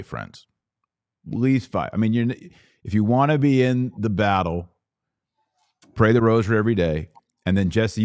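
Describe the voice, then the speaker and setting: Male podcast host, very low pitch, dry close sound, exhortative. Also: darkish tone, no echo. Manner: exhortative, lists questions one after another. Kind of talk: podcast conversation.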